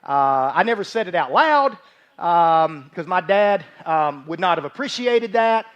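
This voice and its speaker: High-pitched man preaching in a conversational style. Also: expressive, unhurried, clean tone, dull audio. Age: 40s. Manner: folksy, informal, repeats phrases for emphasis. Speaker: church pastor teaching. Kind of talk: conversational sermon with joking asides. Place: church service, speaking live from a stage.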